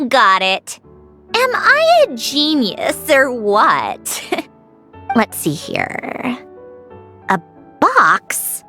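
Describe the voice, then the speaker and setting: Self-assured, animated young genius mechanic. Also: female voice, on the high side, drawn-out words, pauses often, faint music behind, full character voice. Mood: curious, smug. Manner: self-assured, precise, nostalgic. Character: proud young female genius mechanic, wistful and dry. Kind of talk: scripted video game dialogue.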